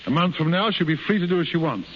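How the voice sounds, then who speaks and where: An actor playing a frank, avuncular, pragmatic American consul in 1930s radio drama style. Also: male voice, on the high side, expressive, fast talker, lightly acted. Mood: persuasive. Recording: muffled, hissy 1930s radio broadcast recording.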